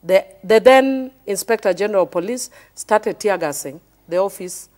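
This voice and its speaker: A Kenyan woman with a middle-pitched voice speaks on a political panel. She argues with passion and insistence, hammering the same point again and again. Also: expressive, normal speed, darkish tone. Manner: impassioned, insistent, repeats her point. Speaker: member of parliament, panel guest. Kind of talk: heated panel debate.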